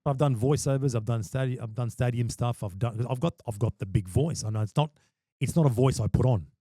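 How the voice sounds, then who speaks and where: A man's voice: mid-range voice, fairly quick, dark tone, no room sound, clean studio sound. A man, a wedding MC and podcast guest, talking passionately and emphatically, often repeating words as he starts a thought. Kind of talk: casual podcast chat.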